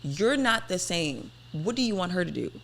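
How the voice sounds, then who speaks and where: A woman's voice: fairly low pitch, big pitch swings, moderate pace, gravelly, fairly bright tone. Twenty-something woman on a podcast, pushy and challenging, keeps hammering her point. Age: 20s. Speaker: podcast host. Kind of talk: casual debate chat.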